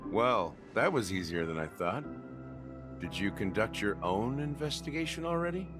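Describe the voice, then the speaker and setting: A man voices a shrewd old dog of a game character, wry and theatrical. The voice sits fairly low and moves around with lively expression. Clear music plays underneath. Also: moderate pace, close to an everyday voice. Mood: wry, persuasive. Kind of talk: scripted game dialogue.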